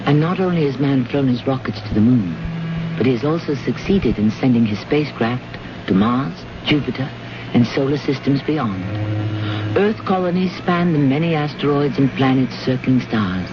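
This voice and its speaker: A man hosting and narrating an old-time radio mystery, with formal, deliberate, dramatic scripted delivery. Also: medium pitch, expressive, close to an everyday voice. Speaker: host and narrator. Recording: noisy, muffled old radio recording.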